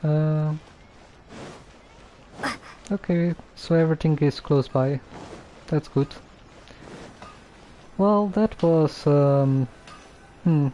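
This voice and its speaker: A game streamer giving hesitant, low-key live commentary with long gaps and trailing thoughts. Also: drawn-out words, long pauses, warm tone, a little hiss or hum, rough recording.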